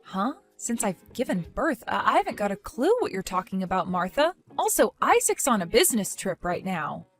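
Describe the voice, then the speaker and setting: A woman voicing a young pregnant wife who speaks quietly and hesitantly, pleading to understand. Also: medium pitch, very animated, normal speed, hardly any pauses, soft background music. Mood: bewildered. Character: soft-spoken young pregnant wife, confused and patient.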